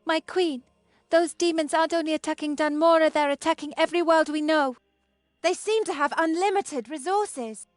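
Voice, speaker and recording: A woman's voice: high-pitched, normal speed, no echo, clean studio sound, soft background music, close to an everyday voice. A woman playing an earnest, passionate young bodyguard devoted to her queen, in dramatic video game style. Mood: earnest, respectful.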